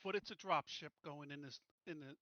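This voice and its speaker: Male player, high-pitched, emphatic and playful in casual group chat, dry and slightly dull recording. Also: brisk, pushed and bright, no echo. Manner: insistent, playful, repeats points emphatically. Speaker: player in a tabletop role-playing group.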